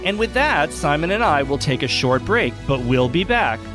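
A man's voice: a little high, big pitch swings, normal speed, over music. A man hosting a podcast, interviewing a guest in a polished, conversational way.

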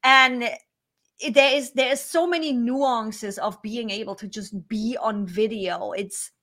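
Animated woman host, English not her first language. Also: fairly high pitch. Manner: animated, sarcastic humor, quick restarts. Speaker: podcast host. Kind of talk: conversational interview.

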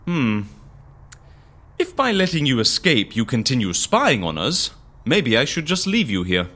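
An actor playing an eccentric, playful man in charge in an audio comedy drama, animated and whimsical. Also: medium pitch, heavily acted. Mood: coolly commanding. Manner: animated, whimsical, quick to switch tone.

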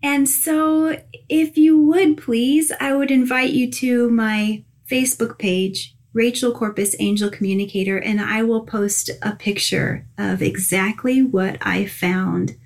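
A woman's voice: a little high, fairly even intonation, measured pace. A tired woman hosting a spiritual podcast in a gushing, chatty way, laughing often. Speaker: podcast host and angel communicator. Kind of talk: casual spiritual podcast chat.

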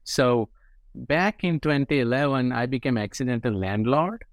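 A man's voice: medium pitch, moderate pace, dry recording. An Indian-accented man talks through his career as a guest on an interview show. His voice sits in a middling register. The recording is dry and close, with no room sound. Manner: explanatory, frequent "right?" tags. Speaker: guest investor. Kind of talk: interview conversation.

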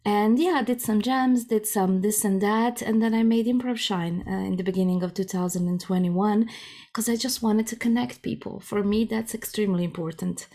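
A woman's voice: medium pitch, fairly even intonation, normal speed, dark tone. A woman with a Croatian accent, a native Croatian speaker, chatting casually and giggly as an interview guest.

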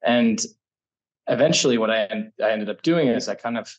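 American man in his thirties, lowish husky voice, casual and rambling interview storytelling. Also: moderate pace, low-quality recording. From United States. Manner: rambling, self-correcting, laughs often. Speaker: guest, startup incubator energy lead.

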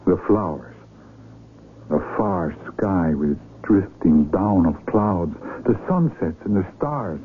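An actor playing an anguished, tender mountaineer in a radio drama, speaking earnestly and poetically. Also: male voice, low-pitched, expressive. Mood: tender, wistful. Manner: earnest, poetic, slow and deliberate. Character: anguished sighted mountaineer, tender lover facing blinding. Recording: narrow, noisy old AM radio recording.

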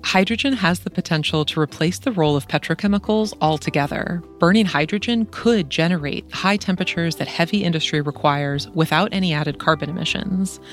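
A podcast host reading scripted narration with a clear, measured delivery, then asking interview questions. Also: mid-range voice, faint music behind.

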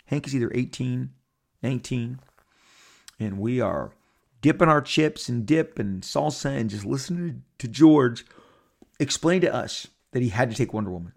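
A man's voice: mid-range voice, moderate pace, creaky. A man in his fifties hosting a podcast, telling a rambling story to his listeners with lots of restarts and quoted asides. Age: 50s. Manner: animated, rambling, repeats and restarts, quotes others in character. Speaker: podcast host. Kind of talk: casual storytelling monologue.

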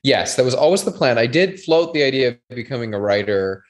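A man in his thirties from Canada, a doctor and TV writer, talking as a podcast guest in a measured way, full of qualifiers. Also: mid-range voice, animated. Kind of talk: casual interview chat.

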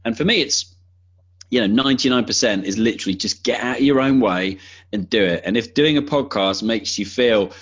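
A man's voice: mid-range voice, brisk, muffled recording. A man talking casually as a podcast guest, enthusiastic and conversational, restarting his sentences as he goes.

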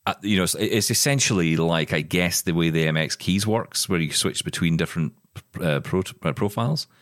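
A man's voice: sits low, a little fast, dry recording, clean studio sound. A man co-hosting a podcast, chatting casually about tech and thinking aloud with restarts. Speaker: podcast co-host.

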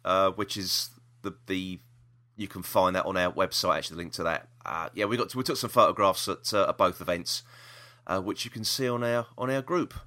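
A man's voice: deep voice, brisk, sharp tone. A British man hosting a podcast in casual chat, hesitant and rambling, often repeating himself. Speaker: podcast host.

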